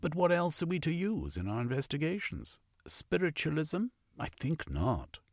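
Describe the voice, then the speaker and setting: A man voicing a supercilious male zoologist official in an audiobook, speaking in a haughty, measured way. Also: fairly high pitch, sing-song, dry recording, studio quality, pitched above the performer's own voice.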